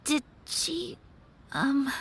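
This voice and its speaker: A woman playing a polite, shy and earnest young noblewoman in a video game, soft-spoken and formal. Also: sits high, drawn-out words, heavily acted. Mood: hesitant, downcast. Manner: formal, soft-spoken, breathy when hesitant.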